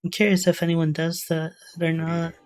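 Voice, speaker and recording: Low-pitched woman, casual reaction commentary. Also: flat delivery, normal speed, darkish tone, soft background music. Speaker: reaction video host.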